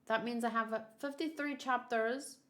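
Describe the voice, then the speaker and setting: A woman's voice: on the high side, fairly even intonation, normal speed, fairly bright tone. A woman streamer chatting casually with her viewers, rambling and thinking aloud as she often repeats herself. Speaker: streamer hosting a manga read-along. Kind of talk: casual livestream chat with viewers.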